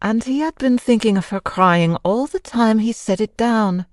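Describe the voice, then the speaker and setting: A woman playing a grieving, sorrowful Frenchwoman in an old-time radio drama, speaking formally and haltingly. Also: fairly high pitch, a little mellow, no echo, studio quality, natural voice. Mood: wistful. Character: grieving Frenchwoman, wife of a mad composer, sorrowful.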